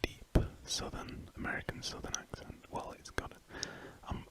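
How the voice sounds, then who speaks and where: Whispering man drawing. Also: measured pace. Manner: soft rambling whisper, relaxed. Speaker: art channel host. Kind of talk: whispered ASMR drawing commentary.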